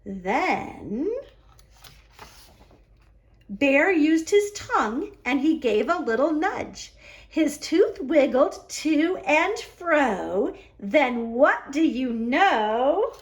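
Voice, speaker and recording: A woman reads a children's picture book aloud at a slow, measured pace. Her voice sits high and swings widely in pitch. She stretches key words expressively for young listeners. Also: very animated. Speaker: library story hour reader.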